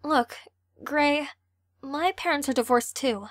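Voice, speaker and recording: A woman's voice: high-pitched, takes it slowly, a bit bright, no echo, studio quality. A woman playing a caring, feisty teenage girl in an audio drama, with a youthful voice. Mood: gentle.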